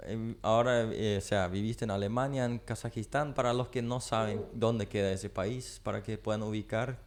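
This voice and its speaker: A man hosts a radio interview from a studio. His voice sits low and carries a gravelly texture, and he keeps things moving with short questions and quick acknowledgements. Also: steady tone. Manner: asks short questions, frequent backchannel. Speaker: radio program host.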